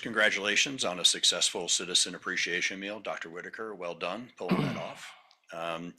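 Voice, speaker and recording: A man making a polite, measured public comment to county commissioners, with some fillers. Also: low voice, sharp tone, husky.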